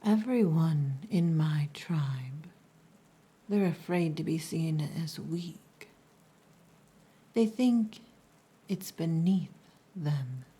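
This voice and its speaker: A woman playing a possessive, gruff yet tender orc chieftain's daughter in an ASMR roleplay, breathy and often whispering, with a deliberate, dominant, teasing manner. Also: deep voice, lively intonation, warm tone, full character voice. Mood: earnest, firm.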